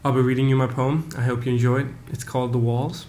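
A man in his late teens, a student, solemnly reading a poem in deliberate phrases into a webcam at home. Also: level tone, dark tone. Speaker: student poet. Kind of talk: poetry reading. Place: living room, close webcam. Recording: faint rumbling background noise.

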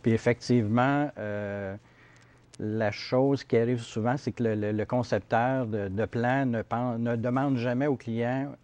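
Middle-aged male contractor, Canadian French accent, halting explanatory delivery, TV studio interview. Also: mid-range voice, fairly even intonation, a little mellow, dull audio. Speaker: heating contractor interviewed as guest. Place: TV studio set, seated on sofa.

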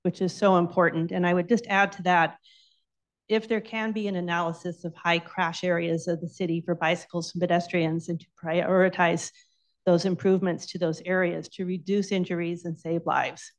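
Woman with a lowish voice. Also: level tone, moderate pace. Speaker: public commenter, bicycle coalition president. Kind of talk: public comment at a city meeting. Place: indoor public meeting room.

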